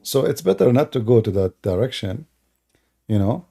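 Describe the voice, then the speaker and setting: A man's voice: mid-range voice, lively intonation, moderate pace, gravelly, warm tone. A middle-aged man from the Middle East with an Arabic accent, hosting a live stream chat in a dismissive manner and laughing often. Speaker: host.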